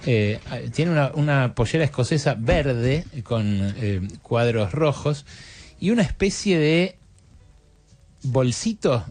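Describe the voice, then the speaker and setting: A man's voice: medium pitch, animated, slow pace, a little mellow, faint music behind. A man hosting a casual streamed interview with an Argentine accent, chatty and jokey, with many fillers. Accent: Argentine (Rioplatense). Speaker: host.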